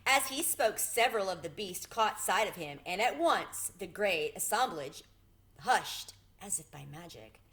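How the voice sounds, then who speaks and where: A woman reads a children's story aloud in a medium-pitched voice. Her narration is expressive and deliberate, shaped for listeners following a chapter book. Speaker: storybook reader.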